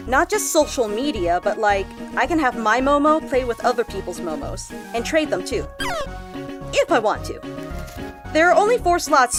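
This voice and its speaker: A woman playing an excitable young female vlogger in an audio drama, chatting in a gushing, giggly way. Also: high-pitched. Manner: gushing, giggly, swooping into squeals. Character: bubbly, excitable young female vlogger and monster-game fan. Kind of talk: audio drama acting as a chatty livestyle vlog.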